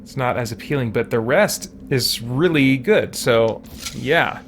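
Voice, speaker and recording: A man's voice: medium pitch, measured pace. Man rambling casually over background noise. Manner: rambling, thinking aloud. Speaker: livestream gamer and host. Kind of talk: casual let's-play commentary while reading chat.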